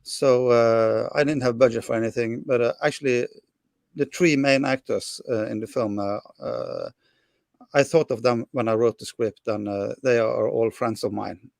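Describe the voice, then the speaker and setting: A middle-aged Norwegian man answers interview questions in slow, halting English, stopping often to search for words. His voice has a gravelly, creaky texture. The sound is close and dry, with almost no room around it. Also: medium pitch, fairly even intonation, a little mellow, no room sound. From Norway. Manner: hesitant, many fillers and restarts. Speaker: film director guest.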